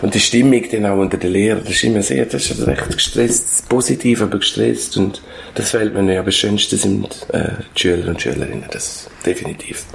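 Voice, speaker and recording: Low, creaky-voiced Swiss German man. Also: a little hiss or hum. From Switzerland. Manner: halting, searching for words. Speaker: former schoolteacher being interviewed. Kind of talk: reflective interview talk.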